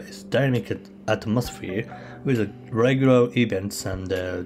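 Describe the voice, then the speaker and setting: Man with halting non-native English. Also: mid-range voice. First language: not English. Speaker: travel vlogger. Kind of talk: voice-over travel guide.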